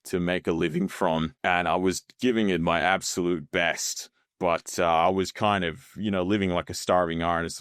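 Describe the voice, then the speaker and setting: An Australian man in his thirties, a podcast guest telling his story in a relaxed, chatty way with many fillers and easy laughs. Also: deep voice, dry recording, clean studio sound. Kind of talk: casual interview chat.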